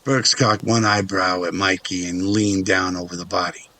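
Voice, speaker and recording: Deep, bright-edged male drama narrator. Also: steady tone, moderate pace, slight background noise.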